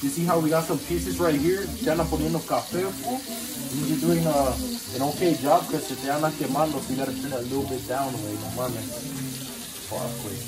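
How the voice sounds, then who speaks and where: A man's voice: fairly high pitch, brisk, poor audio. A young man shows how to cook in a cramped home kitchen. He talks in loose, profane slang, with Spanish words mixed into his English, and he sounds as though he is speaking into a phone camera. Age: young adult. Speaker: home cook showing a recipe. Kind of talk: joking cooking show banter.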